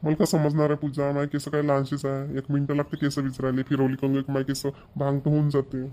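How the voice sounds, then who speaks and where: A man's voice: mid-range voice, monotone, fast, mellow, lightly acted. A man voicing an impatient, thrifty young husband in a Marathi cartoon, with a Maharashtrian accent. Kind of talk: cartoon voice acting.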